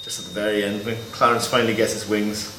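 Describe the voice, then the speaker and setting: A man giving an informal, explanatory demonstration as a Foley artist, pausing as he shows each item. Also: lowish voice, flat delivery. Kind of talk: informal demonstration of sound-effect props. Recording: echoey, distant mic, loud noise and clicks.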